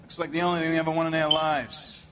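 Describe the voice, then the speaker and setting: A man's voice: a little high, little pitch movement, fast, noisy background, close to an everyday voice. A man playing a weary, matter-of-fact cop giving a briefing in a video game. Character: plain-talking male cop briefing at a fire scene. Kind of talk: cinematic video game acting. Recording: game audio with crowd murmur.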